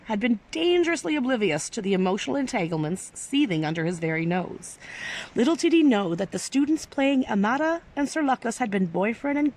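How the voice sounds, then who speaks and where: Woman reading a storybook, noisy recording. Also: mid-range voice. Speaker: storyteller reading aloud to an audience. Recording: clearly noisy background.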